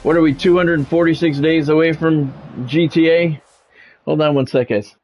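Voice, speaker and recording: A man's voice: fairly high pitch, fairly even intonation, normal speed, darkish tone, soft background music. A man doing sports play-by-play of a video game on a stream, mixed with casual chat with viewers.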